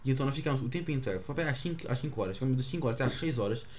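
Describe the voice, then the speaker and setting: A man's voice: mid-range voice, animated, very fast, darkish tone. A teenage boy from the Azores, rambling through stories to camera with lots of fillers and self-mockery. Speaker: vlogger. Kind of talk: casual storytelling to camera.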